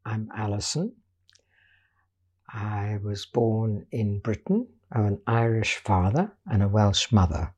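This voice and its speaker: Older woman telling her life story, mellow and husky. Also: rumbling low, expressive. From Portugal. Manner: measured, storytelling, patient explaining. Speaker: guest artist. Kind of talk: reflective life-story interview.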